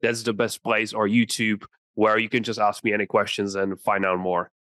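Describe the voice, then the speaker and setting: A man's voice: on the low side, level tone, moderate pace, fairly bright tone, no echo, studio quality. A man in his twenties with a Czech accent, a native Czech speaker answering interview questions earnestly. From Czech Republic. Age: 20s. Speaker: podcast guest, entrepreneur.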